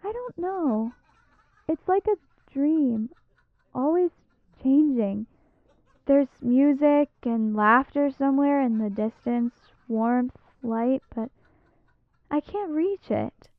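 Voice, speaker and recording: Woman acting a muffled, slow, frightened lost sister. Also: high-pitched, animated, no echo, full character voice. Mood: dazed. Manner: halting, pleading, fragile. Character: frightened, lost sister trapped in a dream realm. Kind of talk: audio drama acting. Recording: muffled, dull, dry sound.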